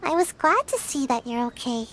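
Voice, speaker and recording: Very high-pitched, drowsy little ninja girl. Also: very animated, normal speed, a bit bright. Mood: tired, resigned. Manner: drowsy, hesitant, sighing. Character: small, sleepy young girl ninja, reluctant and evasive. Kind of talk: video game character dialogue.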